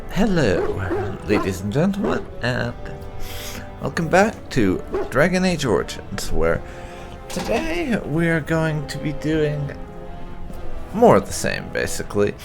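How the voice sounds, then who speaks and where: A man's voice: on the high side, huge pitch swings, slow, creaky, pauses often, over music. A man hosting a gaming channel, reading lore aloud as narration.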